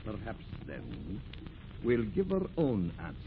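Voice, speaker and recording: Gruff defiant ship captain in an old radio play, really animated, tinny hissy sound. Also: male voice, lowish voice, slow pace, heavily acted. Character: blustery, defiant male ship's skipper, commanding and proud. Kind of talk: old-time radio drama acting.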